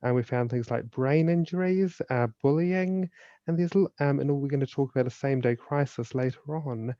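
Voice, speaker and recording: A man's voice: medium pitch, moderate pace, dark tone, dry recording. Man, New Zealand accent, earnest, informative, dull muffled audio, dry. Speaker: guest, suicide prevention speaker and author. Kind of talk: podcast interview, explanatory.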